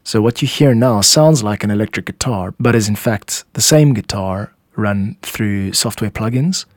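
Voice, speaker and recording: A man's voice: fairly low pitch, moderate pace, dry recording, studio quality. A man hosting a show as a music composer, giving scripted explanatory narration. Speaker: podcast host and music composer.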